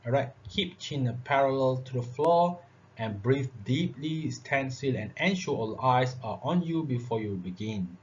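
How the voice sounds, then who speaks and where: A man gives a public speaking lesson, his phrasing broken up by "uh" and a steady "all right". His voice sits at an ordinary middle pitch. The recording is dull and lo-fi, with a faint hiss underneath. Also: a little slow. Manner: filler-heavy, repeats "all right" and "okay". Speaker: lecturer. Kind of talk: classroom lecture on public speaking.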